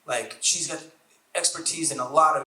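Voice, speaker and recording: Bright-voiced man interviewing onstage. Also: on the high side, normal speed. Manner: friendly, hesitant questions. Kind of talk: casual onstage conversation. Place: festival event room. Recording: echoey room.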